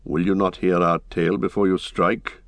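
Man acting a noble, commanding ranger, low-pitched. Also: little pitch movement, a bit bright, dry recording. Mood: placating, firm. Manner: measured, lofty, declarative. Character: noble male ranger and royal heir, resolute and commanding. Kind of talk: dramatic audiobook fantasy reading.

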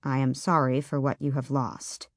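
A woman voicing a solemn ancient male talking door knocker in an audiobook, speaking low and measured. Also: little pitch movement, no echo, studio quality. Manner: grave, lowered, measured.

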